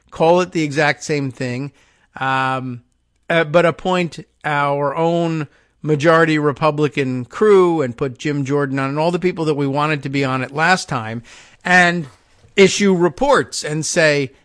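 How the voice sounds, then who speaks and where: A man's voice: on the high side, fairly bright tone, clean tone, dry recording, studio quality. A man hosting a political talk show, reading news passages aloud and breaking off into sardonic, rambling asides. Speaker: political podcast host.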